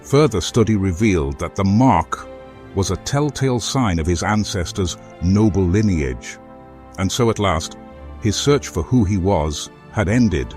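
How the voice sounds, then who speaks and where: A man playing a courteous, earnest former foe in a fantasy game, speaking formally and deliberately as he offers help. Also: sits low, expressive, darkish tone, soft background music. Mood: earnest, storytelling. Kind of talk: video game character dialogue.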